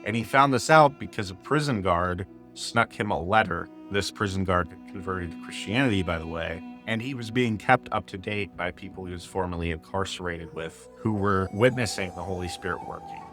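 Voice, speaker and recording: A man's voice: deep voice, moderate pace, hardly any pauses, over music. A man telling a personal faith testimony in a casual, conversational way, with fillers like "kinda" and "like". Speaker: speaker sharing a testimony.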